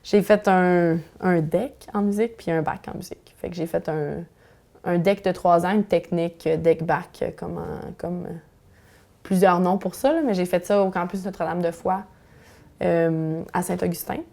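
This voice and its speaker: A woman's voice: fairly low pitch, steady tone, normal speed, creaky, warm tone. A young woman singer with a Quebec French accent, English being her first language, chatting casually in an interview with plenty of fillers. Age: young adult. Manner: chatty, full of fillers and restarts.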